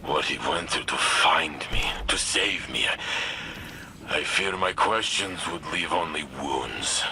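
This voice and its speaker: Deep, slow, noble warrior robot. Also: male voice, gravelly, noisy background, heavily acted. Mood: anxious, heavy-hearted. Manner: formal, deliberate, solemn, few contractions. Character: noble, gentle, earnest male warrior robot. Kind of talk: video game dramatic dialogue.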